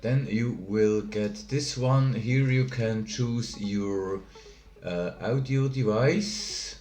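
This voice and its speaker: Brief: Swiss German man, low voice, unhurried and hesitant, tutorial narration in a roomy space. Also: darkish tone. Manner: hesitant, halting with many fillers. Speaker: software tutorial maker. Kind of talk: screen-recorded software tutorial.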